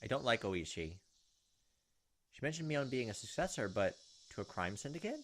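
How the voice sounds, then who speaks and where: A man's voice: medium pitch, very animated, moderate pace, a bit bright, a little hiss or hum. A man hosting a let's play, reading game text aloud and adding wry, casual commentary. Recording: faint game music behind the voice.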